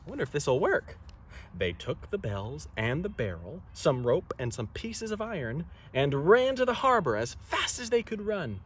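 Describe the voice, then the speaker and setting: A man reading a children's story aloud expressively, with dramatic pauses and asides to listeners. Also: fairly high pitch, very animated, husky. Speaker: storybook reader.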